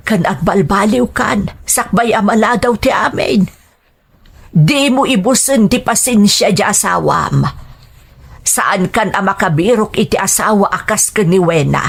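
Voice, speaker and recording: A woman playing a firm older mother who admonishes in measured tones, in an audio drama. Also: medium pitch, creaky, breathy edge, exaggerated voice. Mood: admonishing. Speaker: voice actor. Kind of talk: Ilocano audio drama acting.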